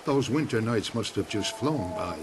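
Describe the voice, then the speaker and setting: An actor playing a cheeky, fearless youngster in a video game, with a sarcastic, teasing manner. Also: male voice, lowish voice, lively intonation, normal speed, close to an everyday voice. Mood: mocking. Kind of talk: video game cutscene acting. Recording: clear rain and ambient noise.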